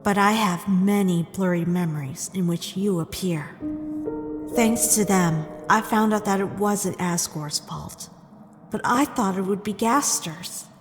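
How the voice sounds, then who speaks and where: A woman plays an indignant young princess in a comic drama, wounded and accusing. She speaks slowly and emphatically, drawing out her words, with long reverb and music behind her. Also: medium pitch, close to an everyday voice. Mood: hurt, suspicious. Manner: accusing, emphatic, drawn-out delivery. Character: betrayed, indignant young princess confronting a friend. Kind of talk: dramatic comic dub acting.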